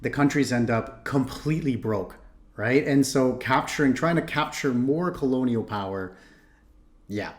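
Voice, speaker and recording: A man's voice: medium pitch, unhurried. Chatty man reacting to videos. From Canada (Ontario). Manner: chatty, thinks aloud with restarts. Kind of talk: casual commentary.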